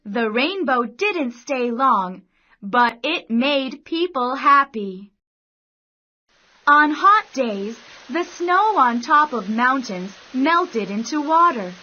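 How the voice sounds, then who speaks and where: A woman narrating a scripted children's bedtime story in deliberate, careful phrases. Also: high-pitched, very animated. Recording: dull sound, soft music behind.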